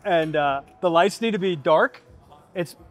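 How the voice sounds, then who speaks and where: A man's voice: high voice, faint music behind. A young man chatting and joking with a friend while putting together gym equipment in a large indoor gym, picked up by a nearby camera. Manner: joking, quick banter. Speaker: gym vlogger. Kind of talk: casual chat while assembling gym equipment.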